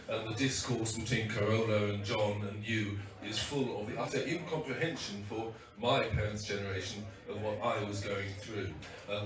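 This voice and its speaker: A man with a hoarse, croaky voice gives an informal, halting launch speech with wry asides. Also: mid-range voice. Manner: halting, many restarts and fillers, wry asides. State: hoarse, losing his voice. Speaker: guest speaker at a book launch. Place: event hall with audience. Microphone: PA microphone, heard from a distance. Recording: echoey hall recorded from far off.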